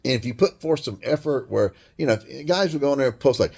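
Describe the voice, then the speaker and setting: A man with a deep voice delivering a blunt, crude, rambling monologue rant full of swearing. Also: lively intonation, fast, gravelly, a little mellow, breathy edge. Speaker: vlogger.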